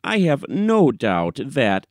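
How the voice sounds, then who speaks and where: A man's voice: mid-range voice, huge pitch swings, takes it slowly, darkish tone, no room sound, studio quality, voice pushed up. A man voicing a smug, naive newspaper editor, self-assured and defensive, in a short story audiobook. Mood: smugly earnest.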